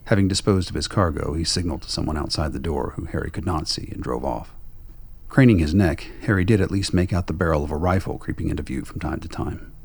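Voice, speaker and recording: A man's voice: a bass voice, quick pace, creaky, darkish tone, slightly rough. A man reading scripted radio play narration in a wry, sardonic manner. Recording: faint background noise.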